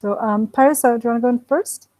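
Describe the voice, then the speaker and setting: A woman raised in Kuwait hosting an online panel over a video call, talking in a relaxed, chatty way with fillers. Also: a little high, fast talker, dry recording. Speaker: host, associate professor.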